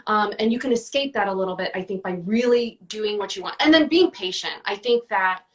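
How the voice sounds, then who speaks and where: A woman's voice: mid-range voice, expressive, fairly quick, recorded from a distance. A woman answering interview questions in a casual, chatty way, with many fillers and restarts. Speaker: guest, book reviewer.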